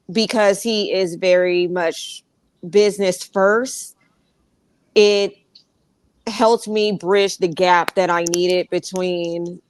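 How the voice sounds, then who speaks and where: A woman artist speaking in a reflective, measured way in an unscripted panel talk. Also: fairly low pitch, clear, resonant tone, no echo.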